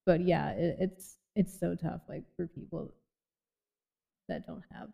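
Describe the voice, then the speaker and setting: A woman's voice: fairly low pitch, fairly even intonation, a little slow, gravelly, mellow, husky. A young woman from Boston with a light Boston accent, hosting a casual podcast chat and rambling with many fillers. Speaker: podcast host.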